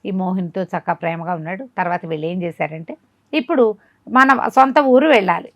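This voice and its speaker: A woman's voice: lowish voice, fairly quick, dry recording, dull audio. A woman telling a folk tale informally, conversationally asking rhetorical questions as she narrates. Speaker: storyteller.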